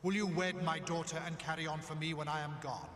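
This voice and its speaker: A man's voice: fairly high pitch, level tone, a little fast, fairly bright tone, close to an everyday voice. An actor voicing an ancient, commanding male villain in a cartoon, speaking in a formal, stately way. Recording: roomy reverberant soundtrack with faint noise.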